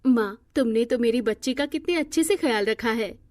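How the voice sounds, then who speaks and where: A woman's voice: a little high, normal speed, darkish tone, natural voice. A woman playing a happy, grateful mother talking affectionately in a cartoon moral story. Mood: cheerful.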